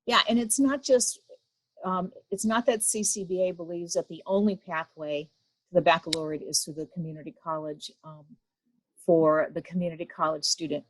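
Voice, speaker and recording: A woman with a slightly low voice asks questions with attentive, affirming interest and gives frequent murmurs of agreement. The sound is dry and close. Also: fairly even intonation, normal speed, no room sound, poor audio. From United States. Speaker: association president, interviewer. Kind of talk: interview conversation.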